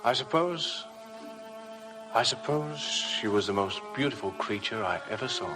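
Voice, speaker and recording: An actor playing a discreet, earnest estate manager, grave and careful, in 1950s radio drama style. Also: male voice, mid-range voice, animated, a little slow, over loud music, lightly acted, rough recording. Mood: grave, reluctant.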